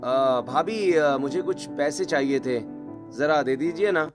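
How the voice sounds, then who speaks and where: A man's voice: on the high side, takes it slowly, faint music behind. A man voicing a mild, hesitant young husband in a dramatized Hindi story.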